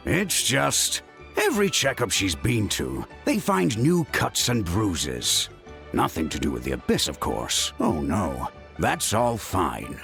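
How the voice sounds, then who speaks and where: An actor playing a stern but caring middle-aged father, world-weary and emphatic, with a gravelly voice, in a video game. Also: sits low, huge pitch swings, slow, a bit bright, exaggerated voice. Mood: worried, weary. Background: background music.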